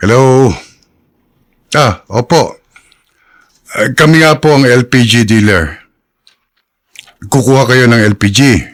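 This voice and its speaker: A man's voice: mid-range voice, slow, long pauses, lightly acted. A man playing a mild, practical father in a Filipino radio drama, polite and a little hesitant. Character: mild, practical father who runs a gas dealership.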